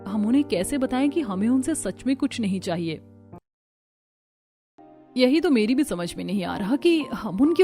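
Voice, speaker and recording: Woman acting a caring, high-voiced young sister. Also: mellow, natural voice. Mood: concerned. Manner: tender, sincere. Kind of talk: Hindi cartoon story acting.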